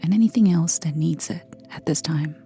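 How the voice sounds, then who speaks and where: A woman leading a guided meditation in a soft, breathy near-whisper, gentle and soothing, with unhurried pauses. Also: low-pitched. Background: soft background music.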